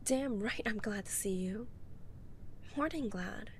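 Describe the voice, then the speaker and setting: A woman playing a college-age goth friend in an ASMR roleplay, speaking softly, often in a breathy near-whisper, with playful, dry teasing. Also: mid-range voice, takes it slowly, a little hiss or hum. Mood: happy, teasing.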